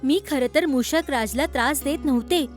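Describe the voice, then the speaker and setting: A woman's voice: sits high, normal speed, soft background music, close to an everyday voice. A woman voicing a hurt, stern mother goddess who scolds and admonishes in a children's cartoon story. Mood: hurt, reproachful. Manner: reproachful, admonishing.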